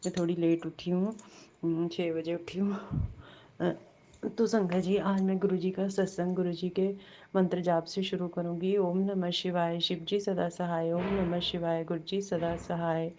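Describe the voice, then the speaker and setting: Lady with a sore throat doing a devotional talk, flat low voice, lots of ums, kinda muffled recording. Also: slight background noise. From Jammu. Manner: halting, many fillers, repeated blessings. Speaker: devotee sharing a satsang. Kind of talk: devotional testimony.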